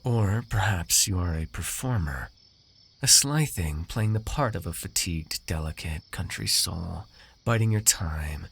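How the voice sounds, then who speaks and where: Guy doing a smug fantasy lord for asmr roleplay, raspy, really animated pitch, posh wording, teasing and possessive. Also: measured pace, exaggerated voice. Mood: teasing, suspicious. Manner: theatrical, drawling, formal diction, condescending. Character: haughty, teasing noble master, smooth-tongued and possessive.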